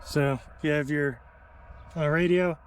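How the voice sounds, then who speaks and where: Higher-voiced man over clear background noise. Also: fairly even intonation, unhurried. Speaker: host demonstrating a vehicle radio installation. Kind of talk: casual hands-on how-to vlog. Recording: noisy car and outdoor ambience.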